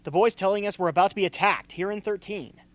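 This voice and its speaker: A man playing a gruff, sarcastic, impatient mentor in an amateur radio drama. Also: high voice, fast talker, dry recording, natural voice. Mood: urgent.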